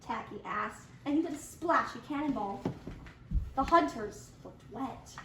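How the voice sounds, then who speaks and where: A woman's voice: fairly high pitch, expressive, unhurried. A woman narrating a scripted children's storybook as part of a readers' theater. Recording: echoey room, distant, faint noise.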